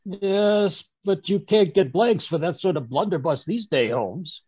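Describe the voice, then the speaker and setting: A man playing a fussy, flustered gentleman sidekick in old-time radio style, husky and stammering over a video call. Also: sits high, measured pace, no room sound. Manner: stammering, blustering, muttering. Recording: muffled video-call audio.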